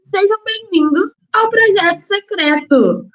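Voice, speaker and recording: A woman's voice: very high-pitched, expressive, unhurried, a bit bright. A woman hosting a podcast interview, upbeat and chatty, often repeating words for emphasis. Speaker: podcast host. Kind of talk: casual interview chat. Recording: echoey, dull lo-fi recording.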